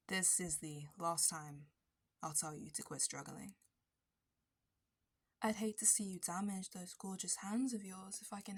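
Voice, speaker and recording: A woman playing an obsessive, possessive barista kidnapper in an ASMR roleplay, intimate and breathy, slipping between whispers and bitter intensity. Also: medium pitch. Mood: menacing, soft. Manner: intimate, breathy dropping into whispers, nervous laughs and sudden bitter flares.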